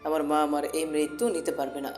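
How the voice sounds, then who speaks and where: A man's voice: fairly high pitch, little pitch movement, brisk, a little mellow, soft background music, close to an everyday voice. Anxious young male captive played by an actor. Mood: fearful, sad. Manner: worried, questioning.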